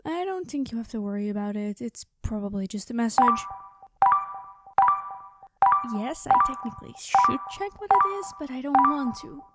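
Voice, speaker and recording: A woman playing a quirky, chatty time traveler from the future, rambling and flustered, in an ASMR roleplay. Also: fairly high pitch. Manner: rambling, flustered, hesitant, cheery. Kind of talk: ASMR storytelling roleplay, one-sided conversation.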